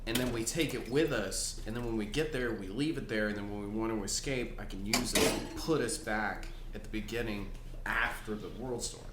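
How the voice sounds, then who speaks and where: A man's voice: on the low side, brisk. A man playing a tabletop roleplaying game, chatting casually as he takes part as a player. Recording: roomy sound with faint background noise.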